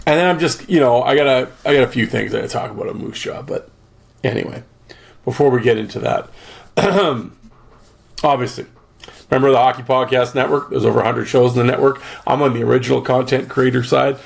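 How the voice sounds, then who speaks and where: A man with a gravelly, mid-pitched voice talks on his own podcast, loose and rambling, with plenty of fillers and dry jokes. His voice is going, so he keeps clearing his throat between thoughts. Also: fairly even intonation, brisk. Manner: rambling, chatty, joking, lots of fillers. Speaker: podcast host. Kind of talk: casual solo podcast chat.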